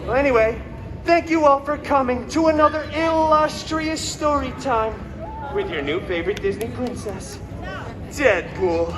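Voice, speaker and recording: Guy doing a super high cocky wisecracking antihero telling a fairy tale, sarcastic, live stage show with crowd noise outside. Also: unhurried. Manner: sarcastic, self-mocking asides. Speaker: costumed character performer hosting live story show. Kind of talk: theatrical comedic storytelling to a live audience. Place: outdoor theme park show, filmed from audience. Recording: noisy outdoor crowd recording of live show.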